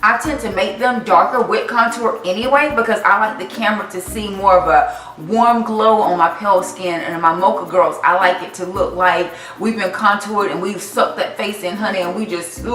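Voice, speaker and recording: A woman from the Carolinas talking through her favorite makeup products in a chatty, animated vlog. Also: medium pitch, recorded from a distance. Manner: chatty, animated, sassy asides. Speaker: makeup vlogger. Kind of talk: casual product review vlog. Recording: echoey room, voice off-mic, faint music.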